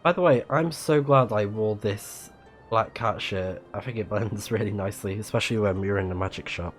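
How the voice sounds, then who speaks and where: A man's voice: on the low side, moderate pace, soft background music. A man giving casual, chatty and reactive let's play commentary as a game streamer.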